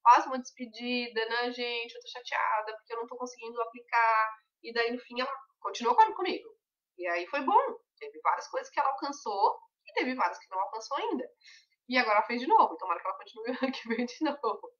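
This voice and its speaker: A woman's voice: a little high, lively intonation, fast talker, fairly bright tone, distant mic, muffled recording. A woman leading a weight-loss mentoring session, talking conversationally and explaining things with everyday examples.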